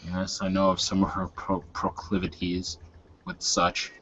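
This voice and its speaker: Man playing a low, calm werewolf leader. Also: a little slow, dull audio, slight background noise. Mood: probing. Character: serene, scheming male werewolf leader.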